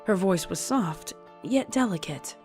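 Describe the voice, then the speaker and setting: A woman's voice: mid-range voice, lively intonation, measured pace. A woman reading scripted audiobook narration as the storyteller. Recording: music playing behind the voice. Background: soft music.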